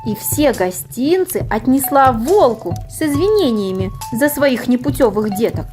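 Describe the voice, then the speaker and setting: A woman reading a children's fairy tale aloud as a storyteller, with theatrical, drawn-out emphasis. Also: on the high side, very animated, darkish tone, soft background music. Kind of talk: expressive scripted children's story narration.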